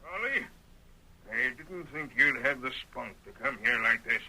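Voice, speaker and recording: An actor playing a defiant old Western ranch patriarch with an American frontier drawl, in 1930s radio style. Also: male voice, mid-range voice, animated, measured pace, pushed and bright, slight background noise, heavily acted, poor audio. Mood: menacing. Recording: vintage 1930s radio recording.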